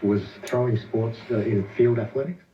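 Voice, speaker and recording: A low-pitched man explains his subject conversationally as a radio interview guest. The sound is a dull playback from some distance, with clear noise over it. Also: fairly even intonation, moderate pace. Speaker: expert guest on a radio programme.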